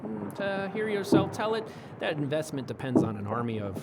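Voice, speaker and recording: A man's voice: a little high, sing-song, normal speed, creaky, heavy background noise. A man playing a mild, canny pirate bookkeeper who talks in a folksy, hesitant, drily understated way in an audio drama. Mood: dry, placating. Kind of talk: fantasy audio drama acting. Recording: loud ship and water ambience.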